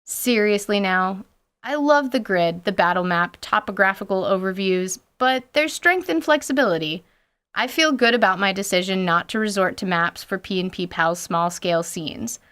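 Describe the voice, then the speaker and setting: A woman's voice: mid-range voice, normal speed, a bit bright, smooth. A woman hosting a scripted, playful commentary on tabletop gaming as a game master, with theatrical emphasis and mock-dramatic asides. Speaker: podcast host and game master.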